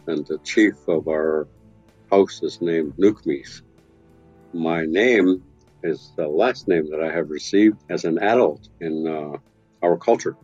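Deep, mellow-voiced man in his sixties. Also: husky, highs cut off, faint music behind. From west coast of Vancouver Island, Canada. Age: 60s. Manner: slow, gentle, unhurried telling with soft chuckles. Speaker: guest, carver and elder. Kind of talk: reflective storytelling. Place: his home and carving workshop.

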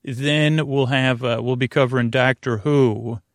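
A man hosting a sleep podcast in a meandering, halting monologue that keeps wandering off on tangents. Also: medium pitch, steady tone, dry recording, clean studio sound. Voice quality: creaky, gravelly. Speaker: podcast host.

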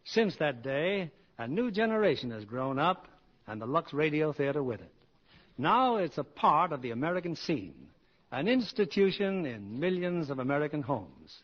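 A male radio host of the 1940s with a fairly high, very animated voice. He introduces and narrates from a script with formal, polished showmanship. The old broadcast sounds a bit dull.

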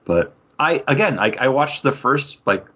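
A man co-hosting a casual geek-culture chat, talking in a halting, conversational way full of filler words. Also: medium pitch, very animated, bright, forceful tone, highs cut off. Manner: halting, conversational, filler-heavy with frequent 'like'. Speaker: podcast co-host.

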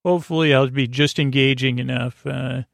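Creaky-voiced man rambling soothingly. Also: medium pitch, fairly even intonation, no echo, studio quality. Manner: meandering, halting, with false starts and tangents. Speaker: host of a bedtime sleep podcast. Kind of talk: rambling, soothing bedtime monologue.

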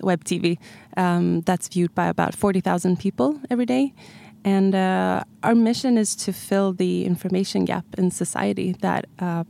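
A woman's voice: on the low side, creaky, warm tone. A woman speaking casually as a guest in a podcast interview about her work and views. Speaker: chief executive, podcast guest.

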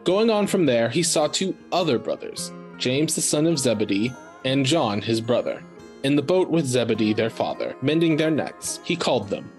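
Measured man narrating scripture, medium pitch. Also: very animated. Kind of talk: scripted narration, dramatic Bible reading. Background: faint music.

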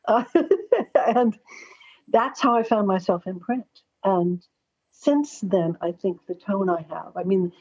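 A woman's voice: medium pitch, animated, takes it slowly, darkish tone, husky. An American woman in middle age or older, a forthright and chatty interview guest telling anecdotes conversationally. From Los Angeles, United States. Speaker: astrologer and columnist, interview guest. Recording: slightly dull, lo-fi audio.

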